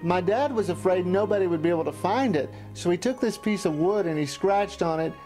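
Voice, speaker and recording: A man's voice: sits high, brisk, warm tone, faint music behind, poor audio. A middle-aged American man narrating a look back at his amateur filmmaking. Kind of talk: retrospective narration to camera.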